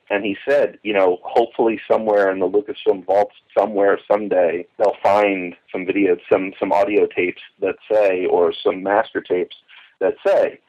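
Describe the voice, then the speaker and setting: A man explains things informally as a commentator, circling back and rephrasing as he goes. His voice is on the low side, and the recording is muffled and lo-fi. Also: darkish tone. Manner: rambling, repeats and rephrases himself. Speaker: commentator on radio drama history. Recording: dull, lo-fi voice recording.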